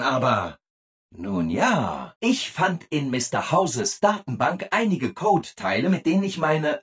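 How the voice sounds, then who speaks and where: An actor playing a relentlessly cheerful, servile robot assistant in a video game, gushing and eager to please. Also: male voice, a little high, husky, heavily acted. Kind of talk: video game character acting in a German dub.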